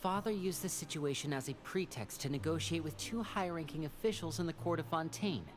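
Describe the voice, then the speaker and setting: A suave young male stage magician from a video game, played by a woman, pitched low. He talks smoothly and theatrically, playful yet secretive, over clear background music. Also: a little fast, natural voice. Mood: confiding. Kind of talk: video game character acting.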